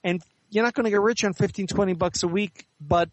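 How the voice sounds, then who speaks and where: A man giving measured, articulate, explanatory answers as an author and editor being interviewed in conversation. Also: high-pitched, no room sound.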